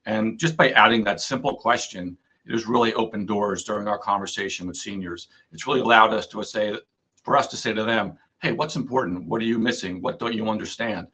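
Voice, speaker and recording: Hoarse man, radio guest, low voice, bright and forceful, earnest explaining. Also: brisk, rough recording. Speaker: insurance agent guest. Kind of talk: radio interview.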